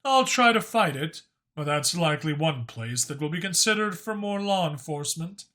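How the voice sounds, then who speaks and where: A scheming, authoritative dragon spymaster from a sci-fi audiobook, acted out by a man. His delivery is measured and formal with a wry edge, pitched up and forceful in tone. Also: lively intonation. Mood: grave, deliberate. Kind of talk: audiobook character acting.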